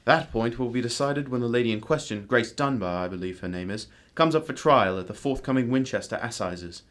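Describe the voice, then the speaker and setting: A man playing a composed, courteous, analytical gentleman detective with a formal, measured manner in an amateur radio drama. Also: mid-range voice, lively intonation, natural voice. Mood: genial, composed.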